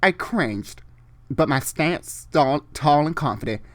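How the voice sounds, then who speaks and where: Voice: medium pitch, animated, slow pace. A host giving a dramatic story reading, stumbling over the text and breaking into exasperated, sweary commentary.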